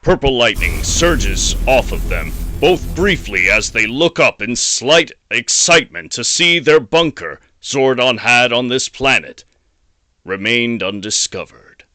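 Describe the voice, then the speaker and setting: A man's voice: medium pitch, expressive, a little slow, bright, forceful tone, no echo. A man narrating a scripted audio drama in a dramatic storytelling style.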